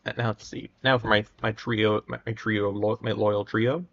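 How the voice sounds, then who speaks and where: A man hosting a livestream and reading aloud, haltingly, often stumbling over and repeating his words. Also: on the low side, little pitch movement, gravelly, a bit bright, muffled recording. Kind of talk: dramatic reading and casual livestream chat.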